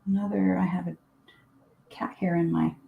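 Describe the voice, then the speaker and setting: A woman from Finland talks an online class through her painting in a relaxed, chatty way, thinking aloud as she works at her desk. Her voice sits fairly low. The recording has a roomy echo around it. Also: moderate pace, gravelly, narrow, tinny audio. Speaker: art teacher. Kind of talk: live painting demonstration. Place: home desk. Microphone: live online class setup.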